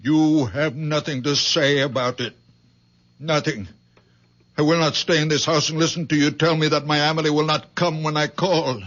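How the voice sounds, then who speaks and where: A man's voice: a little high, fairly even intonation, moderate pace, fairly bright tone, natural voice. An actor playing a very old, stubborn father who speaks tersely and insistently in a radio drama. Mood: stubborn, indignant. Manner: terse, insistent, repeats himself for emphasis. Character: very old father, stubborn, clinging to his dead infant. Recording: dull lo-fi old radio recording.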